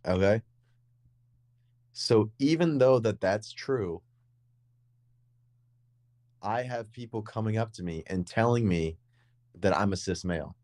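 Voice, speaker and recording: Deep-voiced young man rambling in chat. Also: takes it slowly, pauses often, a little mellow, dry recording. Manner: rambling, repeats words and restarts often. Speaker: podcast co-host. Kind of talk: casual conversation.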